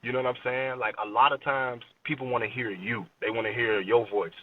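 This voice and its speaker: A man's voice: medium pitch, fairly even intonation, fairly quick, a little hiss or hum. An American man in his late twenties talking casually as an interview guest, informal and slangy, often checking that the listener follows. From Chicago, USA. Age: late 20s. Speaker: rap artist, interview guest. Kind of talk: casual podcast interview chat. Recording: dull lo-fi sound, distant from mic.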